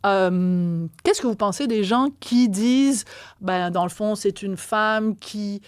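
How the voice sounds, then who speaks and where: A woman from Quebec with a Québécois accent, hosting an interview with lively banter. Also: mid-range voice, takes it slowly, smooth, no echo. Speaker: radio and TV interviewer. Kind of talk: interview host.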